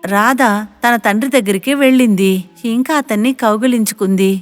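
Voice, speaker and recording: Woman narrating with long reverb. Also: a little high. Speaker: story narrator. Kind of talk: scripted narration of animated moral story.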